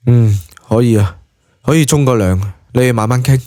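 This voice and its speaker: A man's voice: mid-range voice, normal speed, dark tone, no echo, clean studio sound, lightly acted. A man voicing a decisive, somewhat weary male hero in Cantonese, plain-spoken and terse, in an audio drama. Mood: calm, commanding.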